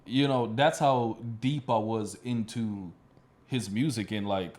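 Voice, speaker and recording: A man's voice: fairly low pitch, slow, a little mellow. A man hosting a podcast in casual conversation, speaking in an informal, slangy way. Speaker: podcast host. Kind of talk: casual chat.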